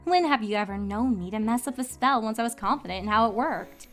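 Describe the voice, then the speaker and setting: Woman playing a studious cartoon unicorn mare, highish pitch, animated intonation, confident, precise. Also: brisk, over music, close to an everyday voice. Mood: assured. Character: self-assured, studious young female cartoon unicorn.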